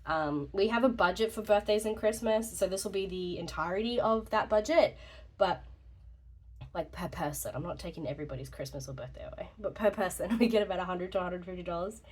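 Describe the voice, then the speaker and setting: A woman's voice: on the low side, expressive, a little fast, darkish tone, breathy edge. A young woman with a slightly congested voice, rambling casually through a vlog update, chatty and laughing often. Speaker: journaling YouTuber.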